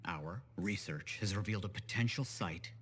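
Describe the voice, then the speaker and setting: A man's voice: deep voice, normal speed, a bit bright, slightly rough. A man playing an eccentric, pompous scholar in a sci-fi video game, speaking with theatrical precision. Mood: enthusiastic, mysterious. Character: eccentric, dramatic male scholar researcher. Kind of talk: acted game dialogue.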